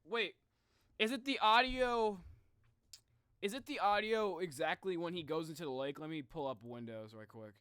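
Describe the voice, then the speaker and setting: A young man chatting casually to viewers on a livestream in an informal, animated way. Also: high-pitched, normal speed, clear, resonant tone, no room sound, clean studio sound. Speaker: live streamer.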